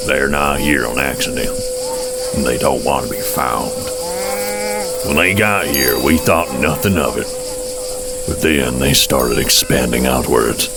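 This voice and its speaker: A man playing a gruff, sardonic amphibious alien in an audio drama, with a folksy, unhurried drawl and a gravelly voice. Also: rumbling low, loud music behind the voice, heavily acted. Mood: grave, knowing.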